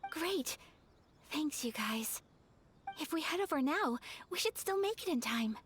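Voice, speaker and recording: Gentle polite anime game princess type, high voice, very melodic, proper and refined. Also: female voice, lively intonation, background noise. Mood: gentle, wistful. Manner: polite, formal, measured. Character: gracious, refined young noblewoman, gentle and polite. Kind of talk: anime video game voice acting.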